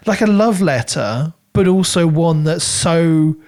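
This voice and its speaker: A man's voice: on the high side, expressive, slow, a little mellow. A man co-hosting a podcast, talking casually and chattily through a book discussion with little self-quoting asides. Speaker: podcast co-host reviewing books.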